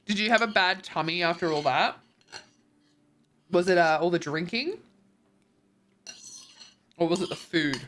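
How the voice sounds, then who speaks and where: A woman's voice: lowish voice, animated, a little slow, pauses often, a bit bright, a little hiss or hum. Tired, congested woman streamer. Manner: chatty, rambling, many ums and likes. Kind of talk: casual chat reading viewer messages.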